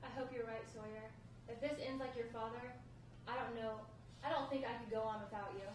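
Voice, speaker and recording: An anxious, devoted partner read by a woman in a drama table read, her voice on the high side. She sounds hesitant and distant from the mic, in a very reverberant room. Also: fairly even intonation, a little fast, lightly acted. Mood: anxious, resigned. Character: worried, devoted female partner of a hard-up man. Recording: very echoey, distant, noisy room.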